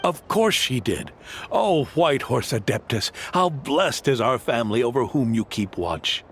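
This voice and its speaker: A man's voice: medium pitch, unhurried, a little hiss or hum. A man voicing a hearty, devout and generous old grandfather innkeeper in a video game, with an effusive manner. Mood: fervent. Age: older. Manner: animated, effusive. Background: music.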